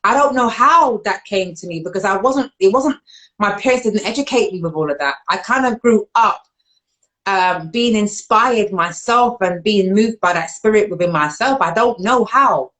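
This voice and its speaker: London woman host, casual and animated, quick to laugh. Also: rough recording. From London, England. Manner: animated, laughs often, jumps up in pitch when excited. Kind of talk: casual live-stream chat.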